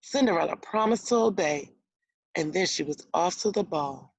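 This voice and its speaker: A woman with a slightly low voice reads a children's story aloud in the manner of an elementary teacher. She explains words and poses guiding questions to her young listeners. The sound is dry and close. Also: animated, moderate pace, creaky, slightly rough, no room sound, poor audio.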